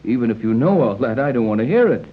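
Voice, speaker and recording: An actor playing a shrewd, plain-spoken American captain in a 1930s radio adventure, blunt and matter-of-fact. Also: male voice, mid-range voice, very animated, quick pace. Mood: blunt, determined. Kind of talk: 1930s radio serial acting. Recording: muffled, noisy old 1930s radio recording.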